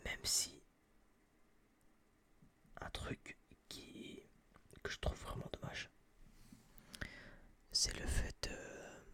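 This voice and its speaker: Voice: extremely slow, long pauses, sharp tone. A person whispering a casual, rambling ASMR film review in slangy, hesitant phrases, often repeating words, close to a binaural microphone. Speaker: ASMR video creator.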